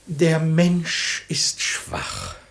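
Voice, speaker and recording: A man's voice: a little high, wildly swooping pitch, drawn-out words, vocal fry, fairly bright tone, exaggerated voice. A man playing a sanctimonious, lustful hypocrite who feigns humble piety, declaiming verse unctuously in a radio play. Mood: ardent, pleading. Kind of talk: German radio play of classical verse comedy.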